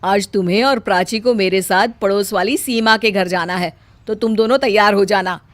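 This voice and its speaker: A woman voicing a strict, scolding middle-aged mother-in-law in a cartoon story, speaking loudly and bossily. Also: medium pitch, fairly even intonation, moderate pace, dry recording, natural voice. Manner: loud, scolding, bossy.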